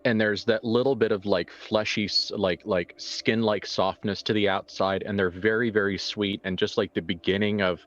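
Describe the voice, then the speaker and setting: A man improvising horror narration as a tabletop game master, halting and informal as he searches for words. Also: on the low side, fairly even intonation, muffled recording.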